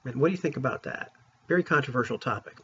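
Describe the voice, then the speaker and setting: A man talking casually to viewers as a tabletop gaming vlogger, in an informal, rambling, opinionated way, with a husky voice. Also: medium pitch, expressive, quick pace, sharp tone. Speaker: vlogger on tabletop roleplaying games. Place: room at home. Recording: dull, lo-fi sound.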